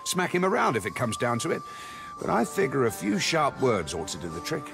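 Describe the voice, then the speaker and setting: A bluff tavern keeper. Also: male voice, medium pitch, wildly swooping pitch, brisk, vocal fry. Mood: businesslike. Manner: bluff, chatty and hospitable. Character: proud middle-aged male tavern keeper, former sailor. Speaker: innkeeper. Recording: game soundtrack under the voice. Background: music.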